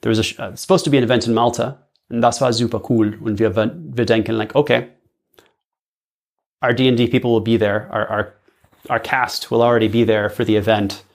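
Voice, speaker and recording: Male podcast guest, native English speaker, mid-pitched with a gravelly fry, casual, slips into halting German. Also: fairly even intonation. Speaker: podcast guest, audio drama creator. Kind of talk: casual interview chat.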